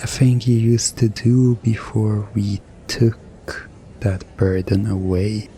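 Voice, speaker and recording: A man playing a mischievous, smugly commanding hypnotist in an ASMR roleplay, soothing and teasing with deliberate pauses. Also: lowish voice, fairly even intonation, dark tone, a little hiss or hum.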